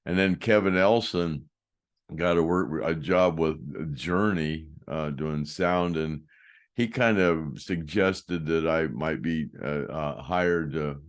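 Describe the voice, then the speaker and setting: An older man with a deep voice telling rambling stories in a casual chat, often trailing off and restarting. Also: takes it slowly. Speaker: host, former band roadie.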